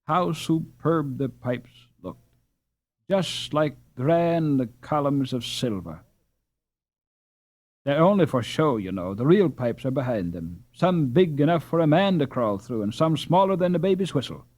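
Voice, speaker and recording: A man voicing a brisk, knowledgeable Dutch boy who interprets and explains. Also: fairly high pitch, animated, darkish tone, no room sound. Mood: explaining. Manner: brisk, informative.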